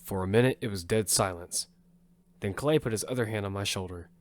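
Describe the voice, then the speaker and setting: A man's voice: lowish voice, a little fast, no echo, close to an everyday voice. A man playing a battle-hardened survivor who narrates in grim first person and leads his team. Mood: steady. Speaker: narrator and lead character. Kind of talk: audio fiction narration. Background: music.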